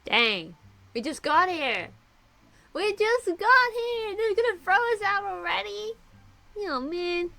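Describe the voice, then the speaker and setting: A woman streamer giving playful, loud reactions while playing a video game. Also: very high voice, very animated, slow, gravelly. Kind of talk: live gameplay commentary.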